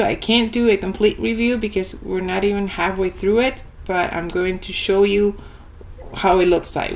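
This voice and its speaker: A young woman from Argentina, a native Spanish speaker with an Argentine Spanish accent, showing a homeschool reading curriculum page by page in a chatty way, with many ums and restarts. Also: medium pitch. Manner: chatty, with frequent ums and self-corrections. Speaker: homeschooling parent reviewing a curriculum. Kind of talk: casual product walkthrough.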